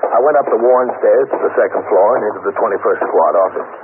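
An actor playing a methodical police captain who narrates in a measured, businesslike way, in a 1950s radio drama. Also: male voice, on the low side, close to an everyday voice. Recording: tinny old radio broadcast with steady hiss.

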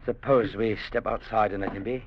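An actor playing a principled, peace-loving trader, earnest and stern, in a vintage radio drama. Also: male voice, fairly low pitch, fairly even intonation, brisk, noisy background, natural voice. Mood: earnest, calm. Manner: earnest, old-fashioned turns of phrase. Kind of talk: 1950s radio drama acting. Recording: muffled vintage radio recording.

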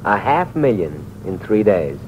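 A fatalistic heir in a 1940s radio thriller. His fairly low voice moves widely in pitch as he taunts and goads theatrically. The recording is muffled, with faint noise. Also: big pitch swings, a little slow. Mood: amused, matter-of-fact. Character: dying rich heir, sardonic, goading, fatalistic man. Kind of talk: 1940s radio drama acting.

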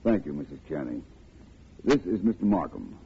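Suave gentleman sleuth, low animated voice, polite and knowing, old-time radio drama, tinny hissy recording. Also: moderate pace, creaky, full character voice. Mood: courteous, thoughtful. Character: suave, courteous, self-assured gentleman detective. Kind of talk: 1950s radio drama acting.

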